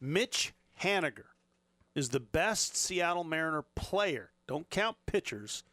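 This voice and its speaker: A man hosting a sports talk radio show, briskly running a quick question segment. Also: a little high, lively intonation, pushed and bright, dry recording.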